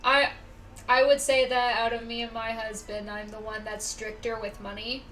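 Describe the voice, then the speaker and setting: Young lady streaming a game, high voice, takes her time, bit far from the mic, slight noise behind. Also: level tone, moderate pace, pushed and bright. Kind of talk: casual livestream chat while gaming. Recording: distant-sounding mic, faint background noise.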